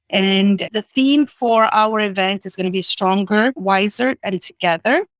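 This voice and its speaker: A woman's voice: mid-range voice, no echo. A middle-aged woman with an Italian accent, explaining her organization's work earnestly as an interview guest. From Italy. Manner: earnest, explanatory, frequent restarts. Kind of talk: virtual interview.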